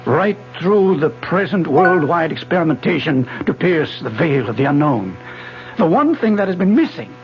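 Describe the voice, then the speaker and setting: An actor playing a retired older male physics professor, obsessive and insistent, in a radio drama lecturing style. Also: fairly high pitch. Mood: persuasive. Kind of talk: 1970s radio drama acting. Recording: muffled old radio broadcast with music.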